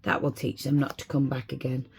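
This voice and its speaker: A husky-voiced reader voicing an indignant little fairy princess in a storybook. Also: mid-range voice, flat delivery, a little fast, a little mellow. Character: little fairy princess, fierce yet tender.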